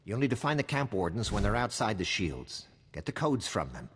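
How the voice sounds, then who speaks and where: A weary male intelligence officer in a video game. His low, husky voice briefs with wry, matter-of-fact secrecy, in a light cavernous reverb. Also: big pitch swings, moderate pace, fairly bright tone, exaggerated voice. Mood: grave, urgent.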